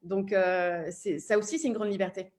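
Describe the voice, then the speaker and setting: A woman's voice: mid-range voice, fairly even intonation, normal speed, a little mellow. A woman speaking conversationally as a panelist, often repeating words as she thinks aloud. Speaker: panelist, audio fiction publisher.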